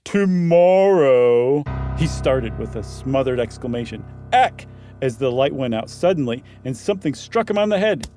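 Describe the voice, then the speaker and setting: A man narrating a horror short story in an expressive, dramatic storytelling style. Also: medium pitch, a little fast, few pauses, faint music behind.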